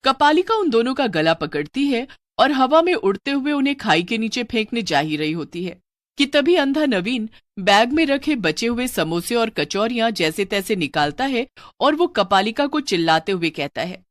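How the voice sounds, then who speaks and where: A woman narrates a scripted tale as a dramatic storyteller. Her voice sits fairly high, and the recording is dry with no room sound. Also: very animated, moderate pace, no echo.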